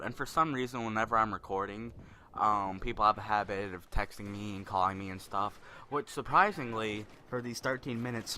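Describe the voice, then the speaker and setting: A young man with a deep voice giving rambling, spontaneous commentary over gameplay, a little self-conscious. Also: pushed and bright, a little hiss or hum.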